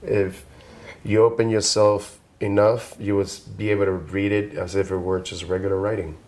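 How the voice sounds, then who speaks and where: A man, an artist guest, chatting in a relaxed, easygoing way. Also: fairly low pitch, normal speed. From Dominican heritage. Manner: relaxed, easygoing, laughs readily. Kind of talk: casual interview chat.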